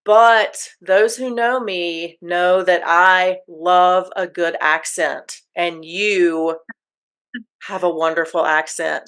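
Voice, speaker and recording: A woman's voice: fairly low pitch, a bit bright. Chatty woman hosting a podcast. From United States. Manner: chatty, enthusiastic, with frequent stammered restarts. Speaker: podcast host. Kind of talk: casual interview chat.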